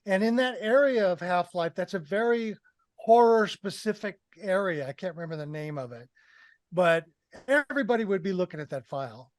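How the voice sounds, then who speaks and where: High-pitched older man hosting a discussion. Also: lively intonation, normal speed, no echo, studio quality. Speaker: podcast host. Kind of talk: film review discussion. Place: indoor room on a video call. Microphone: headset.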